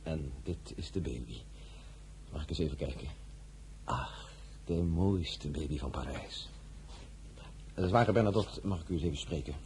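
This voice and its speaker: A man's voice: extremely low pitch, normal speed, pauses often, a little mellow, slight background noise. A male actor in a radio drama playing a courteous, scheming political brother-in-law with a polite, persuasive, formal manner. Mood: courteous, ingratiating.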